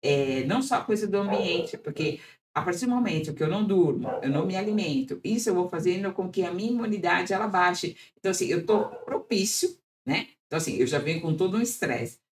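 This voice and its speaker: A woman's voice: fairly low pitch, expressive, brisk. A woman podcast host with a Brazilian Portuguese accent, reflecting aloud and often seeking agreement with tag questions. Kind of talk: podcast interview.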